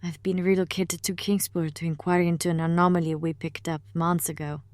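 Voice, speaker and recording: A woman's voice: fairly low pitch, level tone, a little fast, darkish tone, no echo, lightly acted. An actress playing a guarded, blunt woman investigator in an audio drama, speaking warily.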